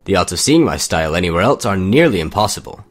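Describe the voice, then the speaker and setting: Man playing calm, courteous young martial artist. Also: deep voice, faint music behind. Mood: calm, patient. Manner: measured, formal. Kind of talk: audio drama acting.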